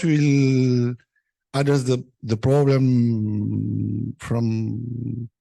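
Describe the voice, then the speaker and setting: A man's voice: mid-range voice, vocal fry, warm tone, dry recording. A man making an unscripted comment in a conference discussion, hesitant, often repeating and rephrasing his words. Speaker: meeting participant giving a comment.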